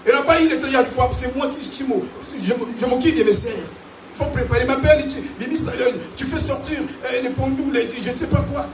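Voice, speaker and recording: A man from Africa preaching a sermon to a congregation, animated and calling out to them. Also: extremely high pitch, fast talker, soft background music. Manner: animated preaching with call-and-response. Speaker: pastor. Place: large church hall. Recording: echoey hall, distant mic, noisy.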